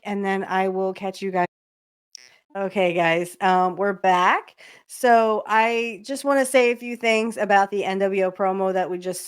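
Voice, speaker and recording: A woman's voice: medium pitch, clear, resonant tone. A woman hosting a podcast, chatting casually along with a video in a fond, giggly way, with many filler ums. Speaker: podcast host. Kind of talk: casual watch-along commentary.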